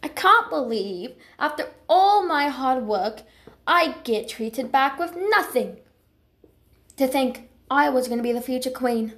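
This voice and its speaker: Casting: woman as young female cartoon dragon cat princess, high voice, slow dramatic delivery, proud but earnest. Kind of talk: character voice lines, amateur cartoon acting.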